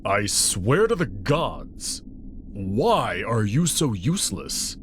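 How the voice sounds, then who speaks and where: A man puts on a big male wolf creature from a sci-fi visual novel. He is friendly yet easily exasperated, casual and exclamatory. His pitch moves around in a lively way, with faint noise on the recording. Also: takes it slowly.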